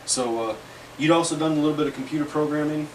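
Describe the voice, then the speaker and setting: A man in his thirties from the Midwest, casually introducing his team in a relaxed, joking way full of fillers. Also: on the high side, fast, echoey room. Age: 30s. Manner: laid-back, joking, filler-heavy. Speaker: team leader introducing members. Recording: distant mic with background noise.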